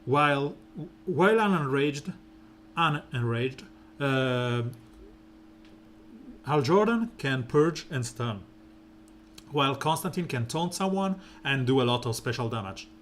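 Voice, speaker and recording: A man streaming a mobile game, talking through team strategy in a relaxed, rambling way with lots of fillers and a soft, smooth voice. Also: slight background noise. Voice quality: soft, smooth and deep when slow. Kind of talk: casual gameplay commentary.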